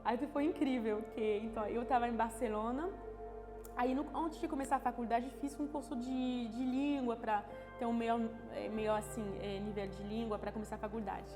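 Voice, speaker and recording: High-voiced woman. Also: steady tone. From France. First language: French. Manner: halting, searching for words, frequent restarts and tag questions. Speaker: interviewee. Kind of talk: personal testimony interview. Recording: long reverb with music underneath.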